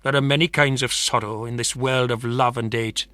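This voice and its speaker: A man giving a solemn, measured dramatic recitation of narrative verse. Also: mid-range voice, fairly even intonation, sharp tone, no room sound. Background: music.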